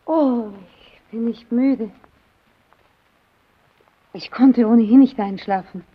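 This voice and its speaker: A woman's voice: a little high, slow, slightly rough. An actress playing a wary, frank and probing young woman in a German radio drama, on a dull old recording.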